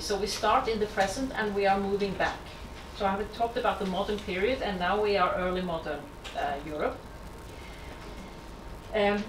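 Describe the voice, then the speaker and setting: A woman from Norway, a native Norwegian speaker, giving an academic lecture in English, reading from prepared text in a measured way with small self-corrections. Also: fairly high pitch. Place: lecture hall. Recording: echoey hall from far off, background noise.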